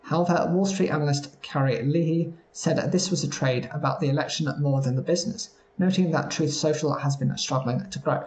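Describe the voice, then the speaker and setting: A man reading news stories aloud at a steady pace, sometimes stumbling over words. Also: a little high, a little fast, few pauses, roomy sound, low-quality recording. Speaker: news reader.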